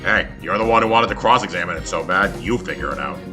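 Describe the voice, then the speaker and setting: A grumpy male fennec fox with a very low, gruff voice and a sarcastic bite, as a man acts him out. Clear music runs behind him. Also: fast, close to an everyday voice. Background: background music.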